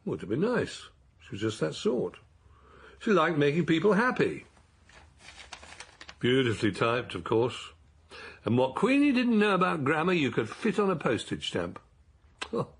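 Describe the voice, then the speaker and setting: Man acting retired husband in radio play, gravelly, husky, slow, hesitant, lively pitch. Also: exaggerated voice. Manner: hesitant, trailing, soft-spoken. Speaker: radio drama actor.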